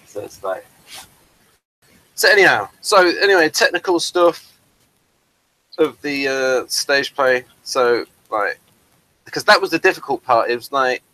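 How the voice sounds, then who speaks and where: A man's voice: medium pitch, animated, a little slow, pauses often, sharp tone, poor audio. A man talking casually about his stage play, rambling with many fillers and restarts. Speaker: playwright showing his work. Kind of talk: casual chat on a video call.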